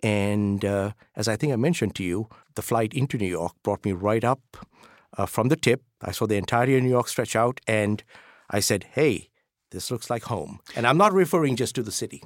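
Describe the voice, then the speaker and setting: A middle-aged man from Sri Lanka, a professor answering interview questions in a relaxed, hesitant way with many fillers. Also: moderate pace, no echo, studio quality. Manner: hesitant, many fillers, lively pitch jumps. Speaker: professor, guest.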